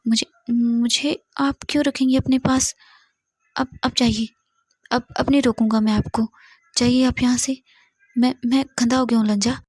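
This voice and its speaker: A woman's voice: on the high side, steady tone, moderate pace, darkish tone, breathy edge, no room sound. A woman voicing a tearful young man who stammers and repeats his words as he pleads. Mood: hushed despair. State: crying.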